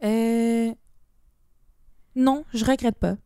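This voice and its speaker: A woman's voice: a little high, fairly even intonation, extremely slow, mellow, clean tone, no echo, clean studio sound. A young woman with a Québécois accent, chatting as a podcast guest, mixing in English phrases and restarting her sentences. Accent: Québécois French. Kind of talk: casual podcast conversation.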